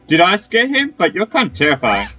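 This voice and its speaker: A man's voice: high voice, wildly swooping pitch, fairly quick, bright, forceful tone. A man doing casual, wry gameplay commentary, reacting in short asides as he plays. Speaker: gamer playing through and reacting. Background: game music.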